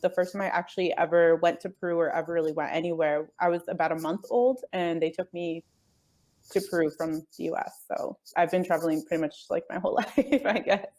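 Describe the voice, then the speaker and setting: A woman's voice: fairly low pitch, steady tone, fairly quick. A woman who grew up speaking Spanish first, talking in an earnest, easygoing way as a guest on a call. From United States. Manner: earnest, easygoing, with soft laughs and hedges like "I guess". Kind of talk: casual interview chat.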